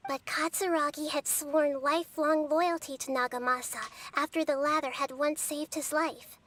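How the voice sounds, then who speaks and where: A woman voicing a wise young girl deity who speaks softly and calmly in a game. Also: sits high, normal speed. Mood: explaining. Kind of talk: game character dialogue.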